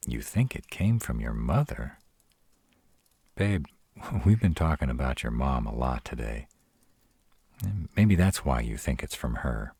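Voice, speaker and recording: Casting: male actor as gruff tsundere were-dragon boyfriend, animated swings in pitch, close dry sound, tender and guarded, romantic audio drama. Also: no room sound, full character voice. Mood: uneasy, cautious. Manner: tsundere, teasing yet caring, hesitant pauses. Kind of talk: romantic fantasy audio roleplay, speaking to the listener.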